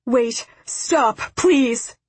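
Woman acting a desperate, breathless pleading father, pitched high. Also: steady tone, normal speed, slightly rough, no echo. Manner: pleading, breathless, repetitive outcries. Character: desperate, terrified, tearful father pleading for forgiveness. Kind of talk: audiobook character acting.

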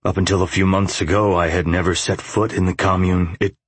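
A man performs a battered, defiant young man from a dark audiobook novel. His voice sits very low with a husky rasp, and he snarls and demands with bitter anger. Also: little pitch movement, brisk, dry recording. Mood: confused, weary.

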